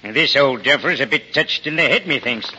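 A man's voice: medium pitch, lively intonation, a little fast, bright, forceful tone, a little hiss or hum. An actor playing a blunt, skeptical, bossy village townsman in folksy 1940s radio drama style. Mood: brusque, bossy. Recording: muffled old radio recording, crowd behind.

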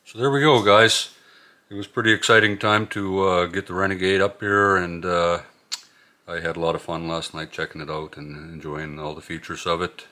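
Low-voiced middle-aged man, casual vlogging. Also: steady tone, normal speed, sharp tone, reverberant. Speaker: vlog host. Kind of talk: casual vlog chat. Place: inside a moving truck and a garage.

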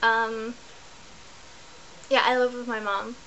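A woman's voice: on the high side, little pitch movement, slow pace, pushed and bright, slight background noise, poor audio. A young woman from New Zealand, chatting to camera in a rambling, self-correcting way from her bedroom, recorded on a laptop webcam. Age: young adult. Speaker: vlogger. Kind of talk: casual chat to camera. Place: small bedroom indoors.

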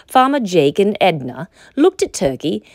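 A woman reading a children's story aloud as narrator, in an expressive, dramatic manner. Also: fairly low pitch, measured pace, no echo, clean studio sound.

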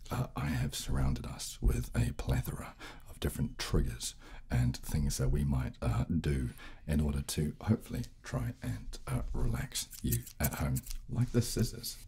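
A man in his thirties hosting ASMR, explaining gently and hesitantly in a soft, hushed voice close to the microphone. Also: very deep voice, lively intonation, normal speed, hardly any pauses, slight background noise. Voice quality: soft, hushed, breathy whisper at times. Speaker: ASMR video host. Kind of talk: soft-spoken and whispered ASMR, casual instruction. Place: small indoor room, seated at table. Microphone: close studio microphone.

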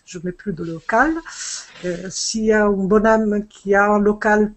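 A woman's voice: mid-range voice, fairly even intonation, measured pace. A middle-aged woman whose first language is Italian, a fashion designer chatting on a video call from home, hesitant with many fillers and restarts. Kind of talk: casual video call chat. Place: home room, indoors. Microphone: earphone headset on video call.

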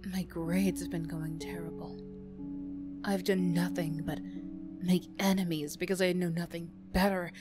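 A woman playing a defensive teenage school bully girl who reluctantly opens up, prickly and halting, in an emotional ASMR roleplay. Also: fairly low pitch, little pitch movement, lightly acted. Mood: despairing, confiding. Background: music.

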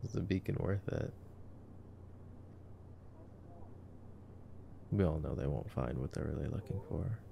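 Man playing a low, gravelly nerdy boyfriend. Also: animated, quick pace, muffled recording, slight background noise, full character voice. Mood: amused, curious. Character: nerdy, affectionate boyfriend commenting on a movie. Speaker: ASMR roleplay performer. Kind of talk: ASMR sleep-aid roleplay, casual movie commentary.

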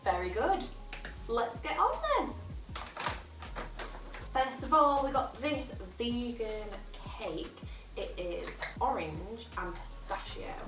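A woman's voice: on the high side, lively intonation, slow pace, long pauses, over music. A young woman from England, gushing and enthusiastic as she narrates a vlog and shows off her haul from home. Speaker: vlogger. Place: living room at home, sitting on floor.

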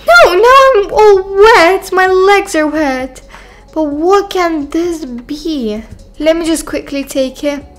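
A woman voicing an excitable, self-assured young girl in a game roleplay, chatting and thinking aloud dramatically. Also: extremely high pitch, takes it slowly, full character voice. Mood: startled curiosity. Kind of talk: voiced video game roleplay.

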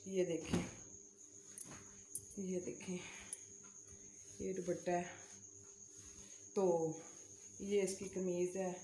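A woman's voice: on the low side, flat delivery, very slow, long pauses, mellow. A middle-aged Pakistani woman chatting casually for a home vlog in a homely, repetitive way with pious phrasing. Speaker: vlogger. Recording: roomy echo, faint background hiss.

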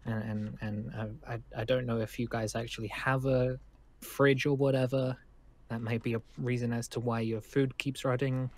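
A man playing a nervous, friendly young survivor in an improvised game roleplay, speaking in halting, rambling stammers. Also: mid-range voice, little pitch movement, darkish tone, natural voice. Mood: nervous, eager. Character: nervous, friendly young male zombie-apocalypse survivor living at a school.

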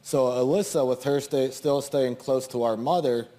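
A man's voice: mid-range voice, normal speed, mellow. Hesitant man in a talk show audience. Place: TV studio audience seat.

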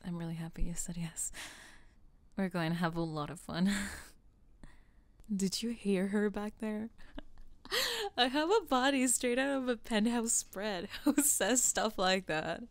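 A woman's voice: mid-range voice, lively intonation, measured pace, no echo. Woman as a shy nerdy tomboy, dry close sound. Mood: shy, grateful. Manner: hesitant, earnest, gently teasing. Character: shy, nerdy, sweet tall tomboy teenage girl. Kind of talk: ASMR roleplay acting.

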